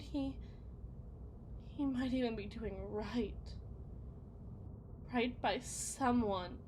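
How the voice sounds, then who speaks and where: A woman playing a bitter, self-loathing wife and mother in an ASMR roleplay, speaking in a theatrical, halting, rambling lament. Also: high-pitched, slight background noise. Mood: bitter, anguished.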